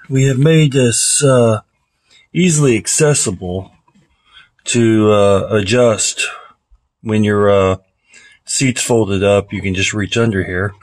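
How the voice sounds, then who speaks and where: A middle-aged man hosting a casual hands-on car audio vlog, thinking aloud as he goes. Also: mid-range voice. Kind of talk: casual hands-on install and review talk. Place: garage, car and house interior. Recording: roomy echo.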